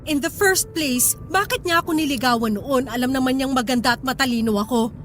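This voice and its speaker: High-pitched wronged wife, over music. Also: female voice, brisk, a bit bright. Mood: wry, wounded. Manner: emotional, plaintive, exasperated. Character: tearful, feisty betrayed wife and working mother. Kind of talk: Filipino radio drama acting. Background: background music.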